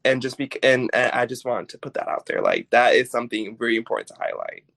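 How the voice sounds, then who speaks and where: A podcast guest speaks in a relaxed, conversational way, often chiming in to agree. They draw out the words they want to stress. The voice is mid-pitched and recorded dry. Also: creaky, no echo. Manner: emphatic, supportive interjections, drawn-out stress on key words. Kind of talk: casual conversational interview.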